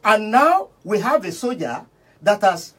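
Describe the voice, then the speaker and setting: A man's voice: high voice, very animated, unhurried, sharp tone, slightly rough. A Nigerian man speaking as a studio guest, arguing politics emphatically and repeating phrases for effect. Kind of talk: impassioned political argument on radio. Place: radio studio.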